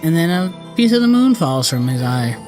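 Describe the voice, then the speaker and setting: A man hosts a casual let's-play with game music playing behind him. His pitch sits a little high for a man. He rambles loosely and slangily, often correcting himself mid-sentence. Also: sing-song, normal speed. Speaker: gaming video host. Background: video game music.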